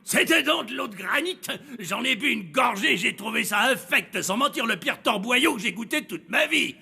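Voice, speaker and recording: An actor playing a sly, crude swindler in a children's cartoon, gravelly and offhand with slangy talk. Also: male voice, extremely high pitch, animated, normal speed, full character voice. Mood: brazen, offhand. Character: sly, crude male swindler posing as hotel guest. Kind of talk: French children's cartoon dubbing. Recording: loud music behind.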